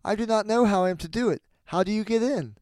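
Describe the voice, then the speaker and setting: A man's voice: sits high, fast, a little mellow, no echo, pitched above the performer's own voice, natural voice. A man voicing an innocent, earnest child in a storybook fairy tale, speaking in exclamations. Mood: wary. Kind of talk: storybook character voice.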